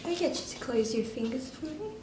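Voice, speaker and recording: A woman's voice: sits high, lively intonation, quick pace. A woman examining a patient, politely giving step-by-step instructions in short, courteous requests. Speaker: clinical examiner. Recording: echoey, distant mic, noisy background.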